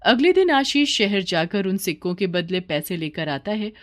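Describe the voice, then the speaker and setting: A woman's voice: mid-range voice, big pitch swings, moderate pace. A woman narrating a scripted children's story as the storyteller.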